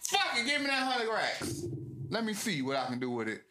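A man's voice: sits high, wildly swooping pitch, a little fast, sharp tone, soft background music. A man co-hosting a podcast, arguing his point insistently in casual, profane talk. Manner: insistent, argumentative, profane, cuts in often. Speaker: podcast co-host. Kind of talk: heated casual debate. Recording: echoey room.

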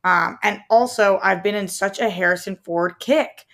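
Creaky-voiced woman chatting casually. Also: mid-range voice, normal speed, a bit bright. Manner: chatty, informal, slangy. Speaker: co-host of a movie news show. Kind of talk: casual movie discussion.

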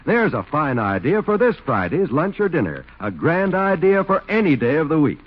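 Animated, husky male radio announcer. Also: fairly high pitch, moderate pace. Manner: folksy salesmanship, emphatic. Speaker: announcer reading a sponsor's ad. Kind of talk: 1950s radio commercial, scripted pitch. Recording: dull old radio recording.

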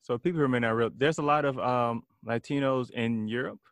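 A man interviewing his guest on a casual podcast. He asks short, relaxed questions. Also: mid-range voice, fairly quick, no echo.

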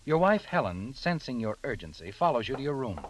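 A man's voice: mid-range voice, big pitch swings, slight background noise, natural voice, low-quality recording. A man narrating a 1940s-style radio crime drama in the second person, dramatic, knowing and ominous. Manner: dramatic, knowing, deliberate phrasing.

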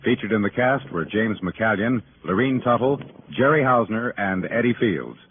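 A man's voice: fairly low pitch, animated, moderate pace. A man with an American accent giving polished, formal scripted announcements in the style of 1950s network radio. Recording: tinny old radio broadcast, loud music. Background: loud music.

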